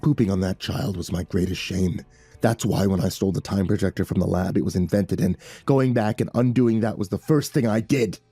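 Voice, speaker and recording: A man voicing a grizzled older man who speaks deadpan and matter-of-fact. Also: low voice, brisk, breathy edge, no room sound.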